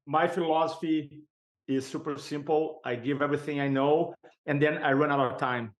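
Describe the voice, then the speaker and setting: A middle-aged man from Brazil with a Brazilian Portuguese accent, a speaking coach telling his story as a podcast guest with lively energy and frequent laughs. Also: a little high, normal speed. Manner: energetic, laughs often, acts out quoted voices. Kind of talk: storytelling interview chat.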